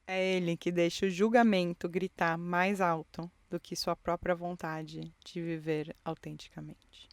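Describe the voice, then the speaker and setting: Woman, low voice, dry close sound in a car, intimate reflective solo host. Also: little pitch movement, a little slow, few pauses, no room sound. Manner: reflective, encouraging, speaks directly to listeners. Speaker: podcast host. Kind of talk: intimate solo podcast monologue. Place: inside her car.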